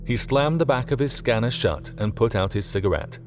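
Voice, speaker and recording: A man's voice: mid-range voice, lively intonation, a little fast, faint music behind. A man reading scripted fiction as an audiobook narrator.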